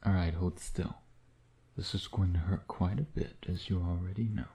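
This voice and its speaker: A man playing a suave, predatory male vampire in an intimate ASMR roleplay, teasing in a breathy voice that often drops to a whisper. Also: sits low, fairly even intonation, dark tone. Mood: commanding, teasing, then seductive, hushed. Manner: slow, teasing, murmuring with pet names.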